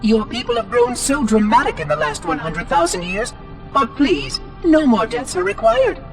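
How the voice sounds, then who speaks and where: A fussy little robot caretaker with a high, bouncy voice. He speaks in a prim, condescending, quick way, swooping all over his range. Game cutscene with a score underneath. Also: very animated, creaky, music in the background, heavily acted. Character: fussy chirpy male AI monitor robot.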